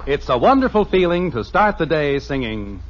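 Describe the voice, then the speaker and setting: A man's voice: a little high, very animated, normal speed. An American man announcing a 1950s radio show, reading scripted narration and sponsor copy. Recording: muffled vintage radio broadcast with loud music.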